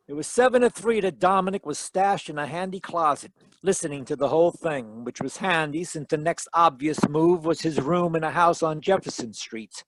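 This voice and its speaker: A man's voice: fairly high pitch, slight background noise, close to an everyday voice, rough recording. A man playing a wisecracking hard-boiled detective who narrates in 1940s radio mystery style, wry and slangy. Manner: wry, slangy, worldly-wise. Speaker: actor in a radio mystery performance. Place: home, live video call performance.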